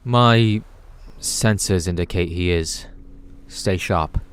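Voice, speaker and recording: A man's voice: deep voice, slow pace, slight background noise. A man playing an anxious, defensive time-travelling alien in an audio drama, speaking in an agitated, insistent way. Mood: alert.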